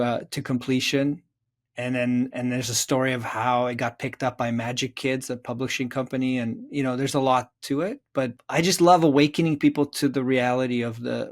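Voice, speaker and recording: A middle-aged man from Czechoslovakia speaking as an interview guest, earnest and reflective, haltingly building lists and rhetorical questions. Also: mid-range voice. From Czechoslovakia, moved to North America. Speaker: interview guest, psychotherapist and author.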